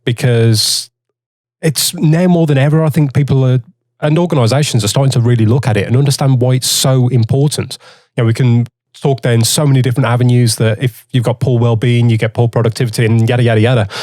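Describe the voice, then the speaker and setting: Mellow-voiced podcast host, chatty. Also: male voice, medium pitch, dry recording. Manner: chatty and matey, calls the guest mate. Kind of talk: casual interview talk.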